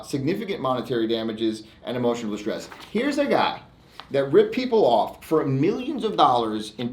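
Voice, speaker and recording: Emphatic American man in an echoey room. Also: medium pitch. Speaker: lawyer hosting his own online segment. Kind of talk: informal monologue to camera, reading out e-mails.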